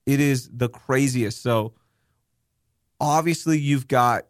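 A young man, born in Pakistan, hosting a casual podcast chat, telling candid, animated stories with some swearing. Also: mid-range voice, slow, dry recording, studio quality. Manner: candid, animated storytelling with profanity. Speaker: podcast host.

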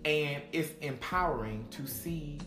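A man's voice: a little high, echoey room, over music. A man with a Texan accent co-hosting a casual podcast chat, talking in an emphatic, opinionated way. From Texas. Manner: emphatic, drawn-out, opinionated delivery. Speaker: podcast co-host.

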